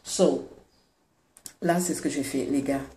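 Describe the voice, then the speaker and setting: A woman with a Senegalese French accent, congested with a cold, chatting casually to her vlog viewers in a hesitant, pausing way. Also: deep voice, fairly even intonation, dark tone, echoey room, a little hiss or hum. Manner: chatty, hesitant with many pauses. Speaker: vlogger.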